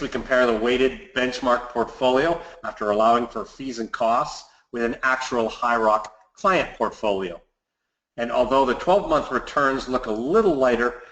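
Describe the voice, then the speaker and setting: Guy doing a scripted finance webinar update, financial advisor type, mid pitch, pretty echoey room. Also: moderate pace, fairly bright tone, muffled recording. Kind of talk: scripted market commentary presentation. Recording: roomy, echoey sound.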